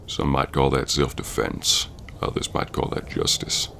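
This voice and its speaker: Man acting a very deep, gravelly bounty hunter. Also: fairly even intonation, a little hiss or hum, full character voice. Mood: indignant. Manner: slow-burning, stern gentlemanly drawling talk with folksy grammar. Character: gruff, principled Old West bounty hunter, male, wounded ex-lover. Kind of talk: ASMR roleplay acting addressed to the listener.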